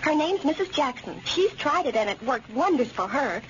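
A woman's voice: high voice, very animated, moderate pace. A woman playing a chatty, earnest friend in an old radio advertisement, with an American accent. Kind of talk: 1930s radio advertisement acting. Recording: muffled, noisy old radio recording.

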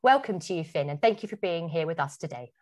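A woman with a low-pitched voice hosts an interview. She delivers prepared introductions and questions in a scripted style. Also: lively intonation, fast. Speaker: host, interviewer.

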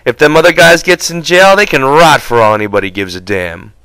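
A man's voice: fairly high pitch, fairly quick, bright, forceful tone, clean tone, dry recording, pitched above the performer's own voice. A man voicing an impatient but protective migrant ranch hand in an audiobook, with blunt, folksy rural speech. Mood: dreamy, rhythmic.

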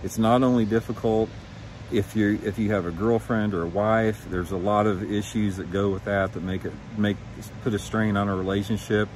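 A man's voice: fairly low pitch, steady tone, normal speed, darkish tone, a little hiss or hum. A man in his fifties talking frankly and emphatically to camera, giving candid opinions like an experienced professional. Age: 50s. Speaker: professional angler and vlog host. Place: suburban backyard, outdoors.

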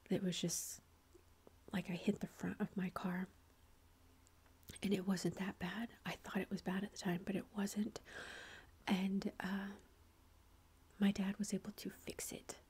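Woman, thirties, whispered chatty storytelling, flat delivery, faint background hiss. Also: medium pitch. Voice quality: whispering throughout. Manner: chatty, many fillers like "like". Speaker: vlogger.

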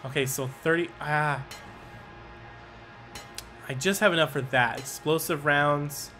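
A young man giving casual, excitable commentary on a game he is playing, swearing now and then and reading the game's dialogue aloud. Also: on the high side, slow. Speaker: gaming video creator. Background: game music.

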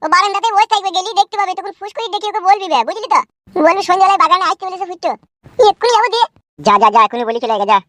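A voice actor playing a foul-mouthed, bossy young schemer in a fast comic cartoon. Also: very high voice, lively intonation, pushed and bright, dry recording, full character voice. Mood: bossy, impatient.

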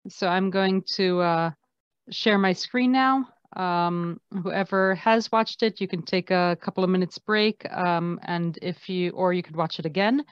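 A woman's voice: lowish voice, a little slow, smooth, no room sound. A woman informally hosting an online class over a video call. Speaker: online course host. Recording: muffled video call audio.

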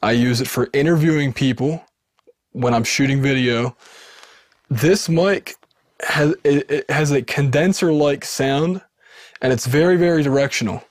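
A man's voice: medium pitch, unhurried, no echo, clean studio sound. A man in his thirties with a sibilant voice, casually showing his gear to the camera in a small wood-paneled room, often restarting his sentences. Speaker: home recording video host. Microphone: studio dynamic mic off camera.

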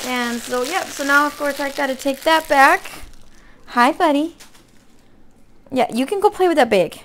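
A woman with a hoarse voice from being sick, chatting casually in a folksy, rambling way as a craft vlogger. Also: sits high, a little slow, a bit bright, slight background noise. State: hoarse from illness with a cough. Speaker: craft vlog host. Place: indoor room at a table.

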